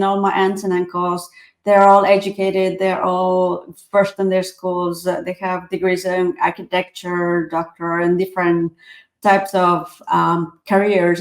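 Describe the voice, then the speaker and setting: A woman's voice: lowish voice, level tone, measured pace, reverberant, low-quality recording. A woman in her forties who grew up in Ecuador answers interview questions in an earnest, reflective way, with many fillers and restarts. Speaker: author and tech speaker.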